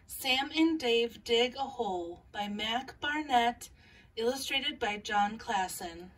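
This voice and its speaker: A woman in her thirties hosting a children's storytime, reading and introducing a picture book in a measured way with pauses. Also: a little high, lively intonation, fairly bright tone, slight background noise. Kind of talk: scripted introduction and picture-book read-aloud. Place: small furnished room at home, indoors. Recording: voice a little far from mic.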